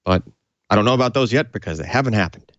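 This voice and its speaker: Deep-voiced man chatting on tech podcast. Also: animated, fast, fairly bright tone, dry recording, muffled recording. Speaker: tech show co-host, hardware reviewer. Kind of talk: casual tech podcast chat.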